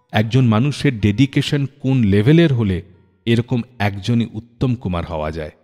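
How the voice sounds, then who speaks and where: Animated male radio storyteller, reverberant. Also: lowish voice. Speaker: radio show host. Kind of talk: scripted storytelling radio narration about classic film songs. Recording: long hall reverb, music behind voice. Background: music.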